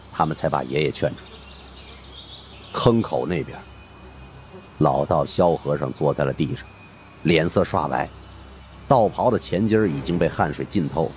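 A man's voice: a bass voice, a little mellow, slight background noise. A man narrating a horror story in a suspenseful, theatrical way. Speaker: audiobook narrator.

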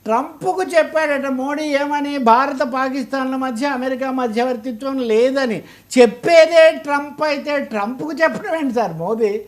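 A man's voice: very high voice, moderate pace. A man with a Telugu accent giving political commentary in an interview, arguing emphatically and throwing out rhetorical questions.

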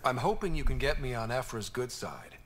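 An actor playing a friendly, curious young human space explorer in a video game, conversational and inquisitive. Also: male voice, mid-range voice, lively intonation, brisk, a bit bright, a little hiss or hum, lightly acted.

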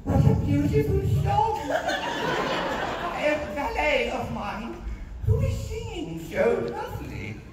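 A woman's voice: very high voice, big pitch swings, takes it slowly, full character voice. A woman voicing an earnest, lovestruck young prince in a theatrical comedy table read. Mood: enchanted. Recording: very noisy echoey hall, far off.

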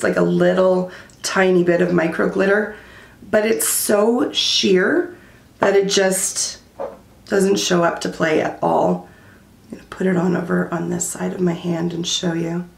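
A woman in her thirties giving a candid, chatty beauty product review at home, her voice going hoarse. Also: lowish voice, reverberant. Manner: chatty and candid, many likes and fillers. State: losing her voice. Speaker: beauty vlogger. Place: small room at home, indoors.

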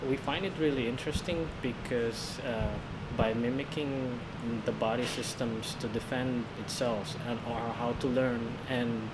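A man in his thirties from Indonesia with an Indonesian accent, a virology researcher answering interview questions in a halting way, pausing often for words. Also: mid-range voice. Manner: halting, searching for words with many fillers. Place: laboratory indoors. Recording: loud air-conditioning hum in lab.

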